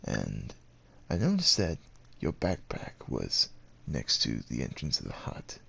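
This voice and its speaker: A man playing a devoted, gentle werewolf suitor in an ASMR roleplay, speaking with hesitant tenderness and courtly phrasing in a gravelly, husky voice. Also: extremely low pitch, huge pitch swings, unhurried, full character voice. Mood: hesitant, earnest. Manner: hesitant, tender, old-fashioned courtly phrasing with tag questions. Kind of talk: ASMR roleplay, acted monologue addressed to the listener.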